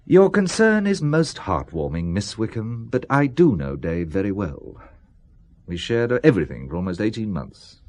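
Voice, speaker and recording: A man's voice: on the low side, sing-song, normal speed, darkish tone. An actor in a radio drama playing a wry, loyal middle-aged businessman with a dry, sarcastic manner. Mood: calm, defensive.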